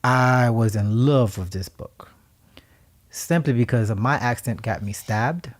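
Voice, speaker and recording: A Haitian man co-hosting a radio talk show, speaking reflectively and with emphasis, sometimes becoming animated and laughing as he tells stories. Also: mid-range voice, a little slow. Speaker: co-host.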